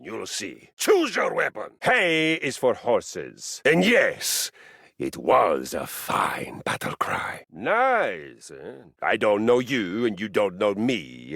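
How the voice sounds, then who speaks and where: Game role: vain, jovially bellicose male Viking warrior. Gravelly voice, unhurried grandiose delivery. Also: fairly low pitch, a bit bright, no echo, clean studio sound, heavily acted. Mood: eager, belligerent, then politely condescending, then smug, relaxed. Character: boastful, battle-hungry male Viking warrior, a personified treadmill. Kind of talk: theatrical video game character acting.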